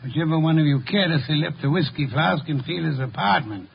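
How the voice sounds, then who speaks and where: A dull, faintly hissy 1950 radio recording of an eccentric master detective. He is imperious and unflappable, with a highish voice full of gravel, speaking in precise, ponderous, dryly sardonic phrases. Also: a little fast. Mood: assured, accusing. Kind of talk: 1950s radio drama acting.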